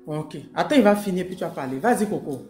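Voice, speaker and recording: A woman moderating a live discussion, quickly handing out speaking turns and telling people to wait. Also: lowish voice, expressive, a little mellow, echoey room, slight background noise. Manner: directive, quick turn-giving.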